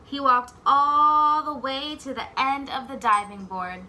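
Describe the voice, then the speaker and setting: Bright-voiced woman reading aloud to children. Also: sits high, fairly even intonation. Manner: pauses to ask simple questions and echo the answers. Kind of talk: expressive picture-book read-aloud with questions to children.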